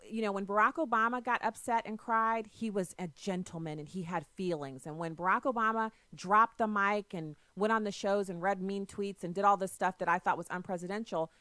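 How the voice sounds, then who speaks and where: A woman's voice: mid-range voice, pushed and bright, no echo. A woman hosting a political call-in radio show, pressing her caller with pointed questions. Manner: assertive, argumentative, quick to press questions. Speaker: radio talk show host.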